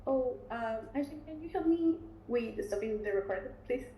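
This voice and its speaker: A woman with a mellow, soft-edged voice, talking through a webinar as a recruitment officer. She keeps it loose and conversational, with plenty of ums and restarts. Her computer mic picks up a roomy echo and faint background noise. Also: a little high, normal speed. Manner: relaxed, chatty, many fillers and restarts. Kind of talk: webinar presentation and Q&A.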